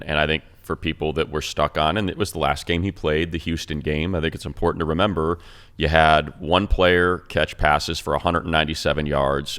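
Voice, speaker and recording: A man's voice: extremely low pitch, fairly bright tone, clear, resonant tone. A man who is a radio play-by-play announcer, talking football in an enthusiastic, stat-heavy way on a casual sports podcast.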